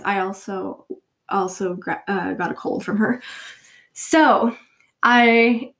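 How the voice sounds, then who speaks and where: A young woman in her twenties with a cold, talking casually as host of her own show, her voice congested and sniffly, rambling and joking at her own expense. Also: medium pitch. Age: 20s. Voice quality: nasal, sniffly. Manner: rambling, self-deprecating, giggly. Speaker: podcast host. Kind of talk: casual solo chat.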